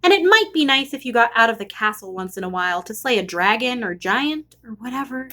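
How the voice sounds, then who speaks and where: A woman's voice: a little high, expressive, brisk, sharp tone. A woman voicing an exasperated storybook princess who scolds and complains. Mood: nagging.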